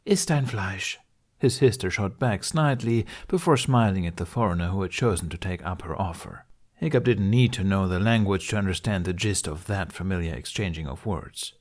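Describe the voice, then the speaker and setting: Guy narrating an audiobook, lowish voice, reading a story. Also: fairly quick, a little mellow.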